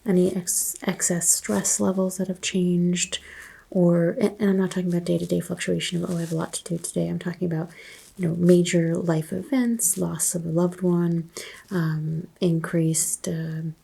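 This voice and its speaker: Soft-spoken woman, low creaky ASMR doctor. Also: flat delivery. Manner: soft-spoken, slipping into whispers, unhurried questioning. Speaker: ASMR performer playing a doctor doing a sleep exam. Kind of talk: ASMR medical exam roleplay.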